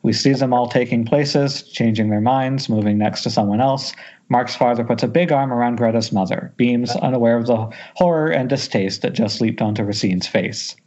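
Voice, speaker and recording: A man's voice: medium pitch, a little mellow. Man reading script directions, muffled sound. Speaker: stage directions reader. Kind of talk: scripted narration at amateur table read. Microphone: Skype call. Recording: dull lo-fi internet call.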